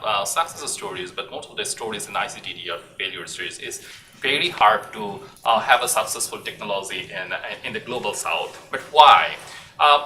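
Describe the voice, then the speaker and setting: A man's voice: fairly low pitch, bright, forceful tone. A man with a Bangladeshi accent giving an academic lecture in an informal, hesitant way. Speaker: assistant professor presenting research. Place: university lecture room. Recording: echoey room, distant mic, faint noise.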